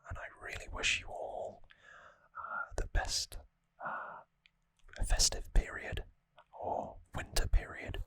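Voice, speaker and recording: An ASMR host speaking in a breathy, unvoiced whisper, gentle and earnest, slightly rambling to viewers, close on the microphone. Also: low-quality recording. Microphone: close microphone in a dry space.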